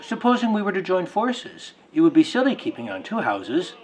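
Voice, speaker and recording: A man's voice: sits high, huge pitch swings, moderate pace, faint music behind. A man playing a fussy, practical bachelor suitor with an insistent, matter-of-fact way of talking in a drama reading. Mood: tentative.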